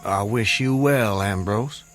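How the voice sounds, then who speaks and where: A man's voice: fairly low pitch, slow pace. An actor playing a condemned man longing for his wife, in impassioned, theatrical radio drama style. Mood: polite, hesitant. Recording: radio drama under loud music.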